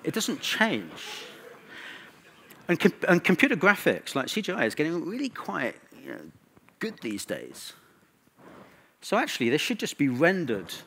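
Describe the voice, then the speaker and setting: A man's voice: fairly high pitch, huge pitch swings, unhurried, pauses often, a bit bright, slight background noise. Man giving an informal conference talk. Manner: informal, conversational, with wry asides. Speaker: conference speaker.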